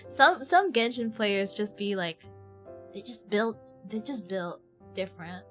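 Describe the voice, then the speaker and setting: A woman's voice: on the high side, expressive, takes it slowly, fairly bright tone. A young woman from Ireland chatting casually as she streams a game, informal and slangy, often self-deprecating. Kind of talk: casual live stream chat. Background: game music.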